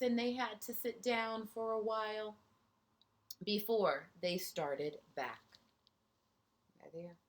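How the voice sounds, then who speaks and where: A woman teacher reading a picture book aloud to young children, gently pausing for chatty asides and questions to her listeners. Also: mid-range voice.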